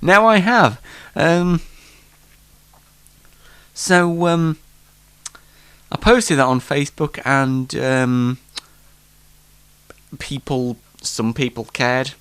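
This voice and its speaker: A man's voice: mid-range voice, very slow, long pauses, fairly bright tone, clean tone. A British man with a bunged-up nose, chatting off the cuff as host of his homemade show in a rambling, self-deprecating, mock-exasperated way. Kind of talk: casual, unrehearsed solo show chat. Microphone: microphone, speaking alone.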